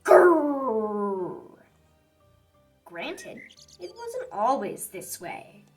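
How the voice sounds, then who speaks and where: A woman's voice: high voice, sing-song, slow, fairly bright tone. A woman reading a children's picture book aloud in a theatrical, emphatic narrating style. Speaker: children's story reader. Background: music.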